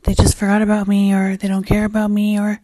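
A woman hosting her own podcast in a casual, rambling solo chat, her voice raspy and hoarse as she loses it, with plenty of fillers and restarts. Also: medium pitch, completely flat delivery, fast talker, warm tone, no room sound. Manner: swears casually. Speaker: podcast host.

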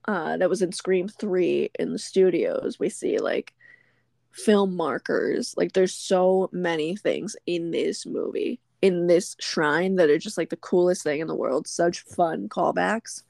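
A woman's voice: on the low side, unhurried, creaky, a little mellow, no echo, clean studio sound. A woman co-hosting a podcast, casually recapping a film scene by scene and laughing often as she goes. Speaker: podcast co-host. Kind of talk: casual movie recap chat. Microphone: remote call.

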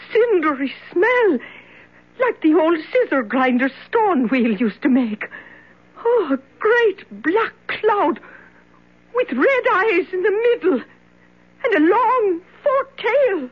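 An actress playing an excitable, superstitious cleaning woman in a 1970s radio drama. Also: high voice. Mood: fearful awe. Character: excitable superstitious cleaning woman swearing she saw the devil. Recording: muffled old radio broadcast.

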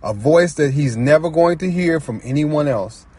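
A man's voice: a little high, animated, moderate pace, darkish tone, breathy edge, no room sound. A man speaking as a guest author, talking reflectively and conversationally about his own writing, repeating phrases for emphasis.

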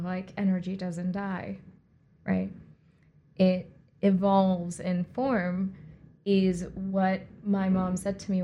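A woman with a mellow, warm tone talks through her thoughts as an interview guest. Her first language is Hindi, and she grew up between India and Puerto Rico. She muses aloud, restarting phrases and leaning on fillers as she goes. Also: mid-range voice, a little hiss or hum. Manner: reflective, rambling with many fillers and restarts. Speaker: guest, artist and director. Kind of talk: conversational interview.

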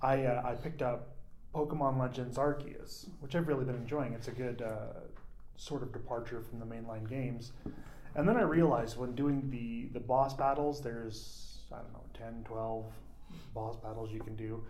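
A man in a casual podcast chat, explaining in a relaxed way with small hesitations. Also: mid-range voice, moderate pace, reverberant, a little hiss or hum, poor audio.

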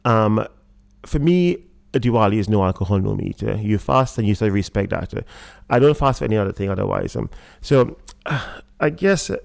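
A man from Trinidad with a Trinidadian accent, a guest talking in a relaxed interview and often pausing with fillers and restarts. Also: on the low side, vocal fry, dry recording. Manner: hesitant, many fillers and restarts. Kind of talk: casual interview talk.

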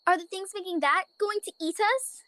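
A woman voicing a timid little girl bunny who is afraid of the dark, in a children's storybook reading. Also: extremely high pitch, moderate pace, no room sound, voice pushed up. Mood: worried. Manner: anxious, urgent, wide-eyed.

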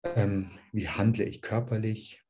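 A man teaching dharma to an online group, calm and searching, with a slight cold. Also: lowish voice, measured pace. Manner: calm, searching, with many "ähm" restarts.

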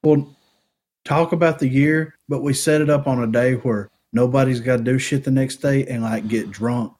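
A man's voice: medium pitch, steady tone, normal speed, darkish tone. A man in his late twenties co-hosting a podcast, laid-back and laughing often in casual banter. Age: 20s. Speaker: podcast co-host.

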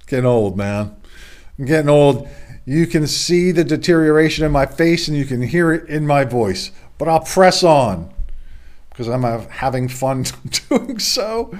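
A middle-aged man with a hoarse voice, hosting a casual sports roundup from a home studio, with rambling, self-deprecating asides. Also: a little high, expressive, measured pace, darkish tone. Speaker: sports podcast host.

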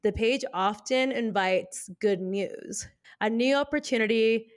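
Giggly, higher-voiced woman chatting casually. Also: a little slow. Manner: giggly, gushing, slangy. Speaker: podcast co-host. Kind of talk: casual chat with tarot card readings.

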